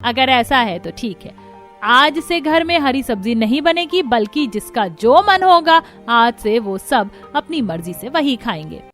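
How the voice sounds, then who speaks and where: A headstrong household mother played by a woman in a Hindi cartoon. Her voice sits fairly high and she speaks emphatically, always arguing her point, over light background music. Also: lively intonation, a little slow, fairly bright tone. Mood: conceding. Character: stubborn, opinionated mother of a household. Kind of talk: Hindi family cartoon voice acting.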